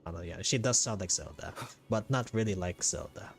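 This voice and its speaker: A man giving casual, jokey game playthrough commentary as a streamer, with drawn-out reactions. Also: deep voice, gravelly. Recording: game music behind the voice.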